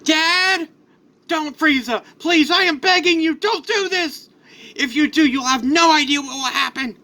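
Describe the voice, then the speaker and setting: A man voicing a brave but frightened young warrior son, imploring, in an animated-style story. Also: measured pace, full character voice. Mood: desperate pleading. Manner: high, imploring.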